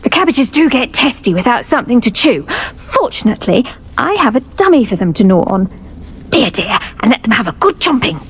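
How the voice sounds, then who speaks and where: Kindly herbology teacher, British, very high voice, lively swooping intonation, effusive, encouraging, fantasy game character. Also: female voice, a little fast, slightly rough, full character voice. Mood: cheerful, encouraging. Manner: effusive, encouraging, plant puns. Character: cheerful, kindly female herbology professor at a wizard school. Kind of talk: video game character acting.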